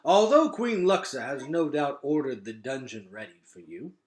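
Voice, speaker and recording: A man voicing a dignified old man of an underground kingdom in a children's novel, speaking in a formal, measured and courteous way. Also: mid-range voice, big pitch swings, echoey room, natural voice. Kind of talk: children's novel read-aloud.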